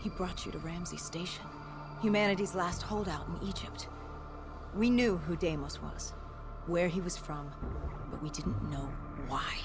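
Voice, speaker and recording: An actor playing a grim, battle-worn cybernetic soldier, recounting humanity's fall in brooding, measured game narration with a gravelly edge. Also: mid-range voice, over music, close to an everyday voice. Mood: grave, tense. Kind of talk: scripted dramatic game narration.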